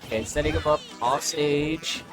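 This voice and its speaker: Male esports caster, higher-than-average voice, casual and excitable play-by-play, prominent music behind. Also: normal speed. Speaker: esports commentator. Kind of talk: casual live play-by-play commentary.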